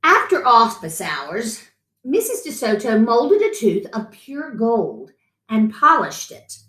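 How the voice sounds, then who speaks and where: A middle-aged woman reads a children's story aloud. Her voice is fairly high and very animated, with big rises and falls. It is picked up from a few feet away in an echoey room. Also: slow pace. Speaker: story time host. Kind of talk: animated children's picture-book read-aloud. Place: small room at home, indoors. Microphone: camera mic a few feet away. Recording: roomy echo.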